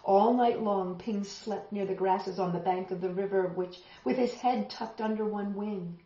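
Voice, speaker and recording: A middle-aged woman reading a picture book aloud as a storytime host, expressive and dramatic, addressing young listeners directly. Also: medium pitch, measured pace. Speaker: children's storytime host and reader. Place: small home room, indoors, seated on a sofa. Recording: roomy, slightly dull home video sound.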